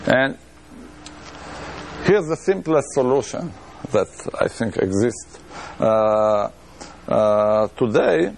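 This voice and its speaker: A man's voice: mid-range voice, sing-song, slow pace, creaky, long pauses, darkish tone, slight background noise. A middle-aged man with an Israeli accent giving a lecture in a room, thinking aloud with many restarts and fillers. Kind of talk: academic lecture on math.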